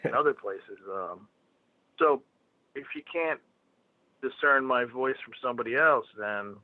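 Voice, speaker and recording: A man's voice: mid-range voice, measured pace, no echo. A man with a Philadelphia accent, talking bluntly and profanely on a phone call. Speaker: phone guest. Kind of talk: casual phone conversation. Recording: tinny phone line.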